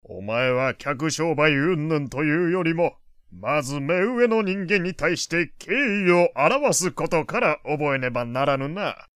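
Lively preachy old gentleman. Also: a little high, measured pace, clear, resonant tone, dry recording, studio quality. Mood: indignant. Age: older. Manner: archaic elderly phrasing, lecturing. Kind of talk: Japanese audio drama acting.

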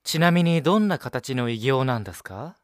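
A man's voice: medium pitch, animated, normal speed, no echo, studio quality, close to an everyday voice. An actor playing a courteous, mild-mannered younger man with formal phrasing in an audio drama. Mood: politely curious. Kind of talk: Japanese audio drama acting.

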